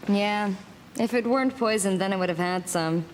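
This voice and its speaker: A woman's voice: medium pitch, normal speed, a little hiss or hum, lightly acted, low-quality recording. An actress playing a blunt young woman medium in a game cutscene, curt and commanding. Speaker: game character.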